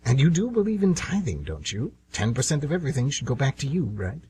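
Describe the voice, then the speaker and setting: An actor playing the Devil as a scheming, irritable, sardonic dealmaker in an amateur radio comedy. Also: male voice, mid-range voice, sing-song, quick pace, mellow, a little hiss or hum, natural voice. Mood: insinuating. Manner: sardonic, insinuating, grumbling.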